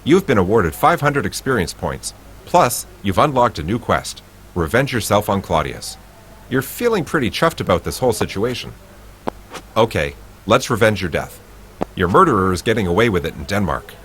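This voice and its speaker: Low-voiced man reading a game aloud. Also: normal speed, a little hiss or hum. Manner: animated, playful reading. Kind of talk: reading game text with asides.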